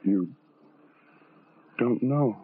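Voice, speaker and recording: On a tinny, muffled old radio broadcast, a tormented man broods in poetic, theatrical speech. He is bitter and full of self-loathing, in a husky mid-pitched voice. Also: extremely slow. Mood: wounded, wondering. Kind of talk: old-time radio horror drama acting.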